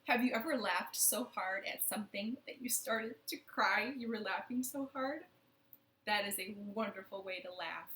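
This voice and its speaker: A woman's voice: mid-range voice, normal speed, fairly bright tone, roomy sound, slight background noise, rough recording. A woman leading a children's storytime from her living room, playful and sing-song with rhymes and silly voices.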